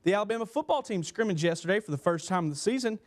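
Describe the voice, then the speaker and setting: A man's voice: sits high, lively intonation, racing. A young man reading a scripted sports newscast as a TV anchor. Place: small TV news studio. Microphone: lapel mic.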